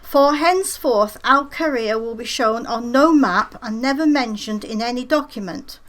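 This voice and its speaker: Casting: actress playing pompous Spanish monarch, high regal voice, reading decrees in radio comedy. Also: female voice, moderate pace, fairly bright tone, close to an everyday voice. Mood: disdainful, pompous. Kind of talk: comic radio play acting.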